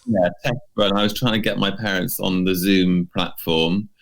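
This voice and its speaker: A man co-hosting a podcast in casual chat, with a halting delivery that often repeats words. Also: low-pitched, steady tone, vocal fry. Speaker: podcast co-host.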